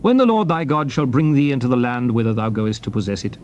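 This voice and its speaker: A man's voice: medium pitch, big pitch swings, a little mellow, highs cut off, close to an everyday voice. Guy doing a stern old-testament prophet preaching at his people, very up and down, declamatory, hissy cassette sound. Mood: solemn. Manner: solemn, declamatory, deliberate phrasing. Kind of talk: dramatized King James scripture reading. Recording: noisy old cassette tape recording.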